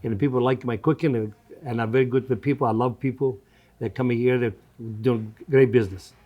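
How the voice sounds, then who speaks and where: A man's voice: medium pitch, steady tone, brisk, phone-quality sound. A man in his seventies from Greece, a native Greek speaker with a Greek accent and non-native grammar, talking conversationally in an interview as a restaurant owner and often repeating his phrases. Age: 70s.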